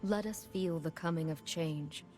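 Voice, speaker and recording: An actress playing a proud, solemn warrior queen of an icy tribe in a video game, speaking in deliberate, commanding, dramatic lines. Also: lowish voice, darkish tone, faint music behind, lightly acted. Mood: reverent.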